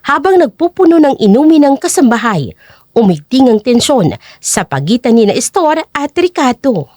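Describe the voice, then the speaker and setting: Woman narrator with very lively pitch. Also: moderate pace, no room sound, clean studio sound. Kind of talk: scripted dramatic story narration.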